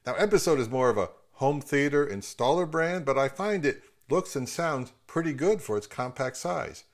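A man's voice: a little high, animated, moderate pace. A man in his fifties giving scripted commentary on products as the host of a review channel.

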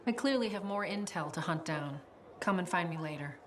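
A middle-aged woman playing a composed, commanding spymaster in a video game, measured and businesslike. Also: on the low side, animated, a bit bright, a little hiss or hum. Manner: measured, controlled.

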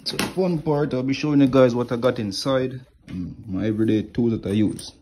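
A man who works as an HVAC technician, showing his tools in a relaxed home vlog and filming his hands with a phone. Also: medium pitch, brisk, slight background noise. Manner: relaxed, plain talk with many "like" and "right here". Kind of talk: casual show-and-tell vlog. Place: indoors at home, wooden floor. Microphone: phone camera held close, filming his hands.

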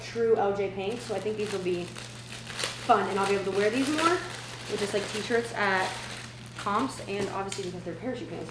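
A young woman in her twenties chats informally to a camera, with a mid-range voice. She sounds like she is vlogging in a roomy home kitchen, with echo around her words and clear background noise. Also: mid-range voice. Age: 20s. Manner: chatty, informal, slangy asides. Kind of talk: casual vlog chat, try-on haul. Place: indoors, open-plan home kitchen and living area. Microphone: camera mic a few feet away.

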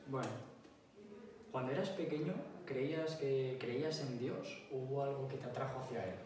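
A man's voice: medium pitch, normal speed. A young man with a Spain accent, asking casual interview questions for his channel. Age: teens to young adult. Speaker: interviewer, YouTuber. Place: indoor hall with wooden bench. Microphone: small handheld mic. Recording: very echoey room, distant, faint noise.

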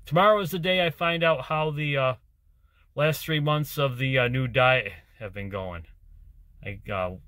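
A man in his forties, a truck driver vlogging casually to camera from his cab, talking in a relaxed, rambling way with plenty of "uh" fillers. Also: medium pitch, dry recording. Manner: easygoing, rambling, with many "uh" fillers. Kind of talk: casual vlog chat to camera. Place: inside a truck cab and outdoors in winter. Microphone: handheld or dash camera at arm's length.

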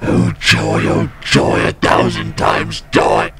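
A man's voice: rumbling low, sing-song, takes it slowly, vocal fry, heavily acted. A man voicing a lonely, chatty soul in purgatory, theatrical and rambling, in a parody game dub. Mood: overjoyed.

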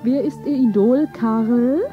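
Brisk schoolmistressy quiz host, highish voice, old radio play w music clearly behind. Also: female voice, dull audio. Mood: prompting. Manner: leading questions, schoolmistress-like prompting. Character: brisk female quizmaster drilling people like pupils. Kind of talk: 1970s German satirical radio play.

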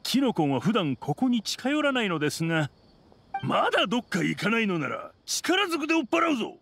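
A man's voice: high voice, big pitch swings, fairly quick, creaky, fairly bright tone, slight background noise, heavily acted. A man playing a dutiful city guard in a Japanese game dub, switching between brusque orders and formal deference. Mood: respectful, wary. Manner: brusque commands, respectful formal address. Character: stern, dutiful male city guard, deferential to his goddess.

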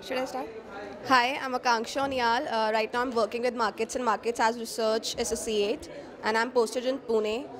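A young woman speaks into a handheld mic, slightly high-pitched and earnest. She pauses with small fillers as she praises her course. A crowd murmurs behind her. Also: fairly even intonation. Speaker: alumna giving a testimonial. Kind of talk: short spoken testimonial. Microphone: handheld microphone.

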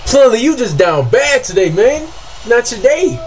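A young man with a deep voice reacting casually to basketball highlights, hollering and trash-talking in slang from a small room on a desk mic. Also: a little slow. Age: young adult. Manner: excitable, yelps and hollers, slangy trash talk. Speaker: sports reaction streamer. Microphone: webcam and desk mic.

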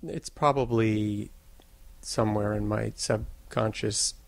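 Measured low gravelly man. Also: fairly even intonation, dry recording. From United States. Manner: measured, thoughtful, slow-building sentences. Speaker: filmmaker guest. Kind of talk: reflective interview answers.

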